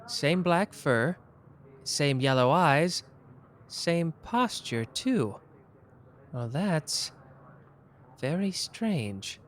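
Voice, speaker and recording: A man voicing a sharp, playful young detective in an ASMR roleplay, teasing and quick-witted. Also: fairly high pitch, expressive, a little hiss or hum. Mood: probing. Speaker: voice actor.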